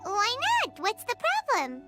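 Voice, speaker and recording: A woman voicing a tiny, excitable female fairy companion in a game. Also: very high voice, moderate pace. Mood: puzzled. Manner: childlike, excitable, bouncy. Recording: game audio over loud music.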